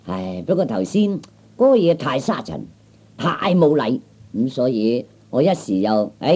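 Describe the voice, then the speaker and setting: A scrawny kung fu braggart in a Cantonese radio comedy. His voice is mellow and swoops around as he boasts in a halting way, peppered with interjections. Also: mid-range voice. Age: 30s. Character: small skinny boastful kung fu braggart, aged thirty. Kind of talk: Cantonese comic radio play acting.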